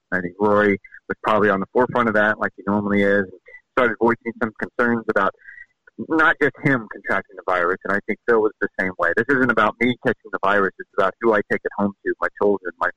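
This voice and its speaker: A man's voice: on the low side, bright, forceful tone, no room sound, rough recording. A man giving an on-site report as a golf reporter, recounting the events step by step with urgency. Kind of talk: rapid on-air report.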